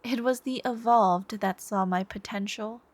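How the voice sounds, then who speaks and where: A woman voicing an obsessive, devoted, soft-spoken supervillain in a scripted ASMR roleplay, intimate and menacing, with phrases trailing into whispers. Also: mid-range voice, close to an everyday voice. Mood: scornful. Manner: slow, intimate, breathy sighs and whispered phrase endings.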